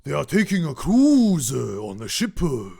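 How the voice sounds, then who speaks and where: A man's voice: fairly high pitch, huge pitch swings, normal speed, creaky, darkish tone, slightly rough, exaggerated voice. A man voicing a villain's sidekick who speaks hesitantly with fillers. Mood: plotting.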